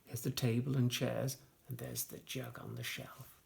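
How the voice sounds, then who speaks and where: A woman reading a rhyming picture book to young children, with sing-song emphasis and gentle asides. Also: very deep voice, little pitch movement, unhurried, creaky. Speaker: storyteller for children.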